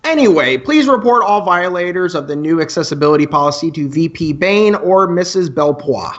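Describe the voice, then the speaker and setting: Man playing an earnest high-voiced student radio presenter. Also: animated, normal speed, dull audio, natural voice. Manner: mock-formal announcing with dry asides. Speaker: radio co-host character. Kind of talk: radio play in campus news broadcast style.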